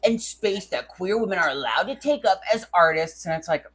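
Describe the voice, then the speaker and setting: A man's voice: high voice, lively intonation, a little fast, a bit bright, breathy edge. A man co-hosting a review podcast, talking casually with irreverent, crude joking. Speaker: podcast co-host reviewing short fiction. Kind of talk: casual banter and review chat.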